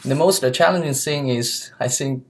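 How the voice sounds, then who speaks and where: A young man from China speaks English with a Chinese accent, earnest and careful. He pauses with fillers and restarts phrases as he looks for words. His voice is picked up by a laptop webcam in a small office room. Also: medium pitch, slight background noise. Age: young adult. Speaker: student interviewee. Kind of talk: mock job interview. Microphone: laptop webcam mic.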